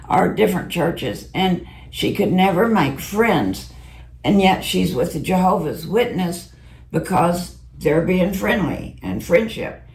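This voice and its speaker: Middle-aged woman with a low, rough voice. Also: darkish tone. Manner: rambling, storytelling. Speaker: Bible study participant. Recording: echoey room, faint noise.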